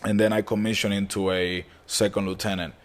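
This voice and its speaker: Twenty-something man from the Dominican Republic, slightly low voice, easygoing and sincere guest on a casual talk show. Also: level tone, brisk. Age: 20s. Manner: laid-back, earnest, peppered with "bro" and "man". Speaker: club owner guest. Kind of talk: casual podcast interview.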